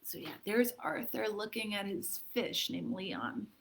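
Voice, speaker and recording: A woman hosting a library storytime for children, expressive, putting questions to her young listeners as she narrates. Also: mid-range voice, measured pace, creaky, noisy background.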